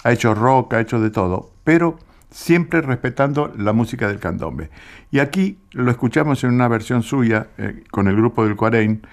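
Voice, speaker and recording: A man, speaking Spanish as a radio music commentator, explaining in an unhurried way with small hesitations. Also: mid-range voice, lively intonation. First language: Italian.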